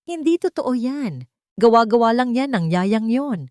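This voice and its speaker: Audio drama villain: greedy, indignant older aunt, spiteful and defiant, higher pitch, played by a female narrator. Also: lively intonation, a little slow, darkish tone, no room sound, studio quality. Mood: indignant denial. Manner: indignant, self-righteous.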